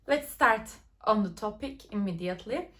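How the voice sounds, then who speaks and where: A woman's voice: mid-range voice, expressive, moderate pace, bright, forceful tone. A young woman from Turkey with a Turkish accent gives chatty, step-by-step tips for a language exam from home, with small slips in her English. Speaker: TOEFL tips YouTuber. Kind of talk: casual instructional vlog. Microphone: laptop nearby.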